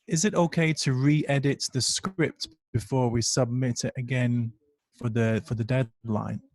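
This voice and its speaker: A man's voice: mid-range voice, fairly even intonation, measured pace, no room sound. Polite man, close dry sound. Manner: polite, tentative. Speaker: participant asking questions. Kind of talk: spoken question on online call.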